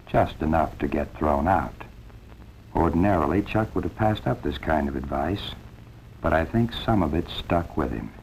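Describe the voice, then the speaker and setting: A man's voice: a bass voice, vocal fry, a little mellow, a little hiss or hum, full character voice. An actor playing an easygoing young American airman, narrating his story in a folksy, wry, conversational way. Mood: reflective. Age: young adult. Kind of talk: first-person 1950s film narration. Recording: dull 1950s film soundtrack with music.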